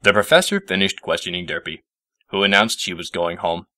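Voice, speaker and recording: A man narrates a written story in a low, bright voice. The sound is close and dry. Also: brisk, dry recording. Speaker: story reader.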